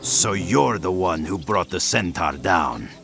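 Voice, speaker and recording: An actor playing a proud, commanding Spartan general in a video game. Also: male voice, sits low, animated, slow, vocal fry, a bit bright, soft background music, exaggerated voice. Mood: measured, proud. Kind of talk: video game character dialogue.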